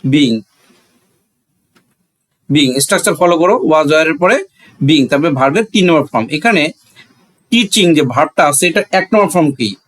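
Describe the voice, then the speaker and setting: Higher-voiced Bangladeshi man teaching. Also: animated, normal speed, pauses often, a bit bright, low-quality recording. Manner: didactic, repeats key words and asks himself questions. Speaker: English grammar teacher. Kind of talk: step-by-step classroom lesson.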